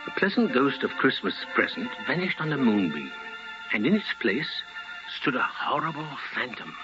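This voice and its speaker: Guy narrating old fifties radio drama, theatrical, very animated pitch, music behind, muffled recording. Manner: theatrical, deliberate phrasing. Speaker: storyteller narrator. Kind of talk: dramatic 1950s radio storytelling.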